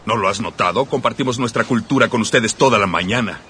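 An actor playing a proud, sardonic Spartan warrior king in a dubbed epic film, speaking in a measured, dryly defiant way. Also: lowish voice, bright, forceful tone. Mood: proud defiance. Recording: noisy film soundtrack clip.

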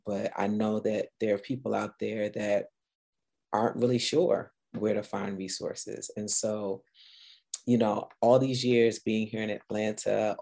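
Mellow-voiced woman hosting a podcast. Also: a bass voice. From Guyana. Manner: earnest, upbeat, self-introducing with fillers. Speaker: podcast host. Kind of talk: casual solo podcast talk.

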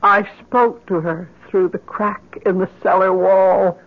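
Obsessed grieving American mother, insistent and urgent, slightly rough voice, dull old-time radio drama sound. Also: medium pitch, level tone, slow pace. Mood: haunted, insistent. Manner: intense, urgent, commanding. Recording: muffled lo-fi vintage radio recording.

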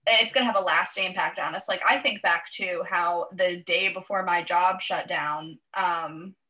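A young woman co-hosting a podcast in casual chat, playful and self-mocking, with a slightly raspy voice. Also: on the low side, fairly even intonation, moderate pace, sharp tone. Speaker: podcast co-host.

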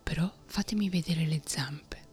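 A woman's voice: deep voice, measured pace, faint music behind. A woman reading a fairy tale, whispering the lines of a fearless young man who wants to learn fear. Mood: calm, unafraid. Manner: whispered, calm and bold.

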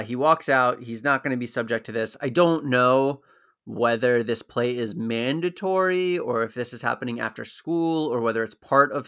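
A man co-hosting a casual recap podcast, summarizing plot points in an even, matter-of-fact way. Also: mid-range voice. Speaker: podcast co-host.

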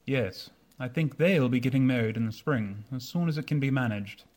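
A man playing a proud, haughty young gentleman in a radio drama, speaking stiffly and courteously. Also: medium pitch, normal speed, lightly acted. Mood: cool, guarded.

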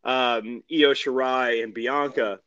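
Male podcast co-host, casual sports recap, mid-pitched voice, halting delivery with repeats, slightly lo-fi audio. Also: steady tone, fairly bright tone.

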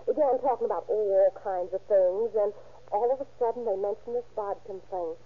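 A woman's voice: mid-range voice, fairly quick, husky, close to an everyday voice. An actress playing a nervous, chatty, slangy woman informant in a 1930s radio crime drama. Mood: confiding. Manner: chatty, hesitant, slangy, with little nervous laughs. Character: nervous, giggly woman informant from a beer joint. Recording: muffled, tinny old-time radio recording.

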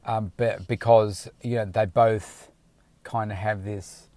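Man, fitness coach, lowish voice, dry close sound, rambling casual talk in a car. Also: flat delivery, slow pace, darkish tone, no room sound. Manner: rambling, frank, with many restarts. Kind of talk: casual motivational talk to camera. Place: inside a car.

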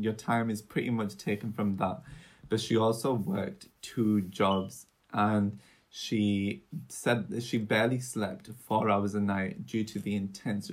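A young woman co-hosting a casual true-crime podcast, chatty and rambling, with a low, soothing voice. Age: young adult. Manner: chatty, rambling, searches for words. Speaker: podcast co-host.

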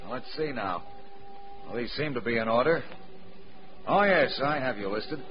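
A man's voice: mid-range voice, lively intonation, normal speed, muffled recording, a little hiss or hum, lightly acted. An actor playing an officious but polite navy man in a 1940s radio drama on an old recording. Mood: officious, courteous.

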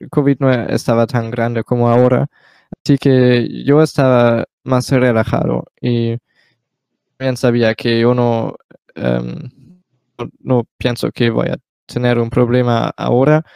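Hesitant German teen speaking Spanish. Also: male voice, mid-range voice, vocal fry, warm tone, clear, resonant tone, dry recording. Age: teens. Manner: hesitant learner's Spanish with many fillers. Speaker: exchange student guest. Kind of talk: casual interview.

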